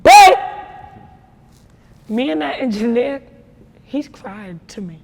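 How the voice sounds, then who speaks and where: A young woman with a naturally raspy voice talks about her life in a relaxed interview, her stories emphatic and full of slang. Her voice rings with a long reverb, as if she is in a big hall. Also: high voice, slow. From New York. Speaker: rapper guest. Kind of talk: casual interview talk.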